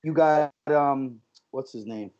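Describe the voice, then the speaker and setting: A man's voice: medium pitch, takes it slowly, darkish tone. A man co-hosting a podcast, talking casually and telling stories with lots of fillers. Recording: dull lo-fi sound.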